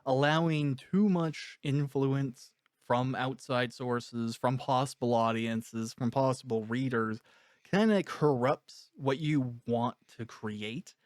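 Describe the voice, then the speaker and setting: A man with a medium-pitched voice conducts an interview. His phrasing is measured and slightly formal. The recording is dry, with hardly any room sound. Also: no room sound. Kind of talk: interview questioning.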